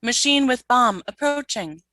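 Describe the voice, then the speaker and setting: A woman's voice: a little high, expressive, measured pace, sharp tone. A woman playing a subordinate alien soldier in a radio play, deferential, giving short, obedient reports. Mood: matter-of-fact, grim.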